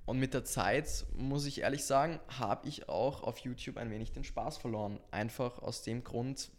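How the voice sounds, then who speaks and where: A man's voice: medium pitch, moderate pace. An eighteen-year-old man with an Austrian accent, talking casually as an interview guest. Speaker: podcast guest, social media creator.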